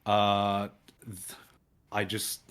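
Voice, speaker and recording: Hesitant British man with a lowish voice. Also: completely flat delivery, very slow, a bit bright, smooth. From England. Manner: hesitant, searching, many restarts. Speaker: guest. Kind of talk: casual conversation.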